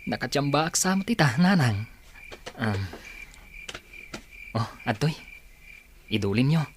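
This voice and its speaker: A man's voice: medium pitch, very animated, slow pace, slight background noise. A man acting in a radio drama as an earnest young son who dreams of escaping poverty. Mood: earnest, subdued.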